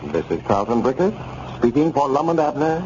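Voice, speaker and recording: A man's voice: mid-range voice, big pitch swings, fairly quick. A man announcing on 1930s radio, formal and declaiming, reading ad copy. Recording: dull 1930s radio broadcast with hiss.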